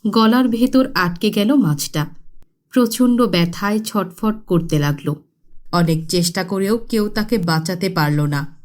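A woman's voice: medium pitch, measured pace, lightly acted. A woman playing a pious poor village girl in a cartoon, earnest and preachy. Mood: grave storytelling.

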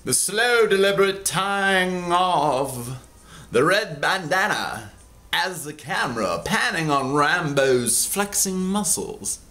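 A man's voice: high voice, animated, takes it slowly. A man in his forties, from Kansas, reading his own memoir aloud in a theatrical, sardonic way, close to a webcam in a small room at home. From Kansas, USA. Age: 40s. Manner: theatrical, sardonic, bursts into loud emphasis.

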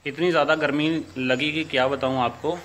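A man's voice: medium pitch, fairly even intonation, fairly quick, fairly bright tone, a little hiss or hum, poor audio. A man in his thirties vlogging casually about building his house, explaining each step in a chatty way. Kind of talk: casual home-building vlog narration. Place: house under construction, indoors and on a veranda.

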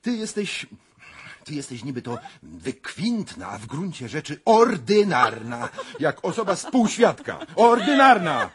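An actor playing a jealous, sneering fiancé in a radio drama, bitter, mocking and agitated. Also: male voice, on the high side, sing-song, a little slow, fairly bright tone, husky, heavily acted. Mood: jealous, furious. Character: jealous, sneering, high-strung fiancé, a scheming secretary. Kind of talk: 1990s Polish radio drama acting.

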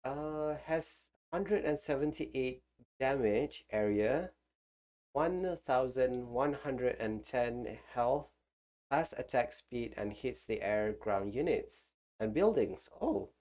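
Slow, phlegmy man streaming a game. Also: mid-range voice, lively intonation, a little mellow. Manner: rambling, chats with viewers. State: phlegmy, recovering from flu. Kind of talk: casual live gameplay commentary.

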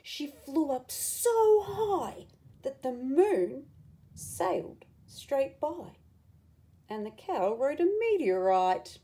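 A woman reading a picture book aloud to children, with expressive, dramatic emphasis and asides to the listener. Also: high-pitched, extremely slow.

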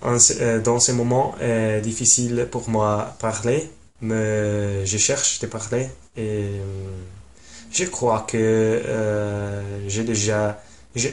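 Young Italian man in his twenties, slow, halting learner's delivery, flat low voice, webcam at home. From Italy. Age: 20s. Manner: halting, many pauses and fillers. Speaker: language learner. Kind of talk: casual self-introduction. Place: small room at home.

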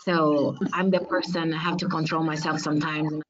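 A woman's voice: low-pitched, little pitch movement, a little fast, slight background noise. A woman from Brazil, speaking English as a second language, giving earnest and direct advice as a webinar panelist, with frequent ums. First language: Portuguese. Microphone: video call. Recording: lo-fi video call audio.

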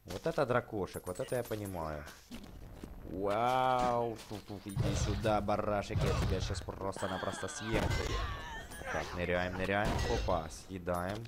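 A man hosting a casual, chatty let's play for children, excitable, full of exclamations, repeated words and affectionate diminutives. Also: lowish voice, a little slow, heavy background noise. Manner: uses many affectionate diminutives. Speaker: gaming YouTuber host.

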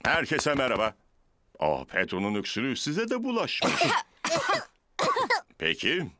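A man's voice: mid-range voice, huge pitch swings, a little slow, very noisy. A man voicing a kindly, reassuring grown-up male animal in a children's cartoon dub.

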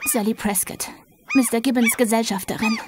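A woman's voice: fairly high pitch, normal speed, background noise, close to an everyday voice. A young woman playing a shaken paid companion in a German radio drama, anxious and fragile in her delivery. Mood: shocked, grieving. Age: young adult.

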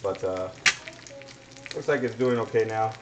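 A man in his thirties chatting casually as he hosts a home cooking show, informal and halting as he thinks aloud. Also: lowish voice, lo-fi sound. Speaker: host of a home cooking livestream. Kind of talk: casual chat while cooking. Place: home kitchen, indoors. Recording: echoey kitchen, noisy with sizzling pan.

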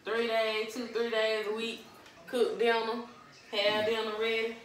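A woman's voice: on the high side, measured pace, roomy sound, low-quality recording. A young woman, teasing her husband in casual family banter. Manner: teasing, sassy, lively. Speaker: wife and co-host.

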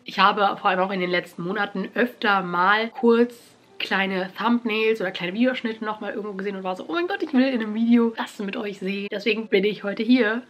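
A young woman vlogging casually from her bedroom, slightly nasal from a cold, chatty and animated. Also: medium pitch. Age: young adult. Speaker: YouTuber. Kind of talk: casual vlog chat. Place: bedroom at home.

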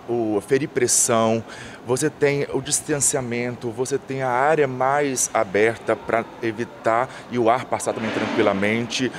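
A man's voice: mid-range voice, slight background noise. A man in his thirties, an event coordinator, answers interview questions outdoors into a handheld mic. He lists items fluently, with frequent restarts. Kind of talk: on-camera interview. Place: outdoors by a painted mural wall. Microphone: handheld reporter mic.

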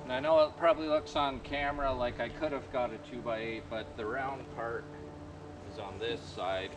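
A man in his thirties chatting casually about his work at a sawmill clearing in the woods, relaxed and folksy, with plenty of easygoing fillers. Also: mid-range voice, normal speed. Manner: relaxed, folksy, lots of "kinda thing" fillers. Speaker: sawmill vlogger. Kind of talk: casual how-to chat. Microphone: far camera on a tripod. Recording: distant voice over loud outdoor noise.

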